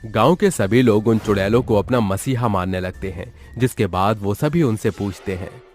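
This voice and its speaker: A male narrator tells a scripted horror story. His voice sits low, and music plays clearly behind him. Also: normal speed, darkish tone.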